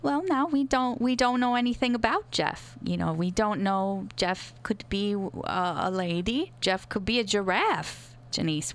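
A woman playing a calm female sex therapist who speaks in a measured, reassuring way with hesitant fillers. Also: lowish voice, gravelly. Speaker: advice-show therapist. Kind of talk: improvised comedy sketch.

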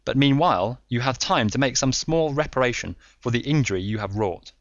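A man voicing a calm, stern Victorian detective who speaks in a measured way. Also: medium pitch, no room sound, muffled recording, voice pushed up, lightly acted.

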